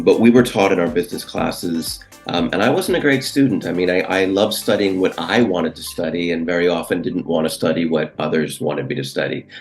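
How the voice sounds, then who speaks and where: A middle-aged Canadian man from Vancouver answering as an interview guest, recounting his career in a conversational way. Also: deep voice.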